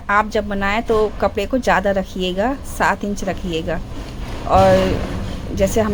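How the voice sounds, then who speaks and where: A middle-aged woman with a hoarse, sore throat talks through a sewing tutorial step by step, often stopping to clear her throat. She sits at a middling pitch and repeats each instruction carefully. A faint hiss runs under the voice-over. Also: rough recording. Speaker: sewing channel host.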